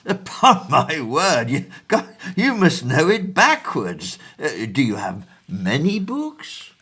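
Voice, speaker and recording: An actor playing a distinguished, bookish gentleman of the 1820s who hesitates often and speaks in a grand, fussy way. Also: fairly high pitch, wildly swooping pitch, slow pace, breathy edge, full character voice. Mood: courteous. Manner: hesitant, full of ums and uhs, fussy and grand. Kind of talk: amateur stage play audio.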